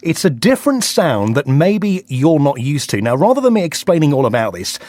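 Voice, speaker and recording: Give the voice of a man presenting a breakfast radio show. He speaks in a slightly high register with swooping, lively intonation, putting questions to guests in a broadcast interview manner. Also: normal speed, dry recording.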